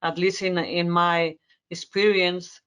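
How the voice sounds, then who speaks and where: A woman's voice: lowish voice, level tone, a bit bright, clean tone. A woman from Spain with a Spanish accent, a native Spanish speaker, answering as an expert panelist and searching for words with frequent repetitions. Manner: halting, word-searching explanation with repetitions. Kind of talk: conference panel discussion.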